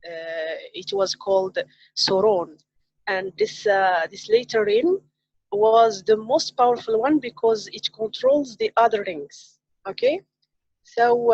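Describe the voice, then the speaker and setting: A woman in her thirties from Morocco, a science teacher, retelling a film on a video call in halting English with many fillers. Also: a little high, gravelly, a little mellow, no room sound. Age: 30s. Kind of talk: casual storytelling on a livestream. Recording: dull lo-fi call audio.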